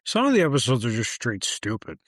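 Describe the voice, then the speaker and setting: A man's voice: mid-range voice, wildly swooping pitch, a little fast, a bit bright, no room sound. A man co-hosting a podcast, talking casually in a blunt, dry, sarcastic way. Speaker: podcast co-host. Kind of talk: casual chat.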